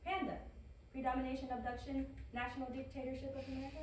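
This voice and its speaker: A woman playing an incredulous, deadpan nineteen-year-old girl in an animated comedy table read. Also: high voice, quick pace, natural voice. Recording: echoey room, distant microphone, faint noise.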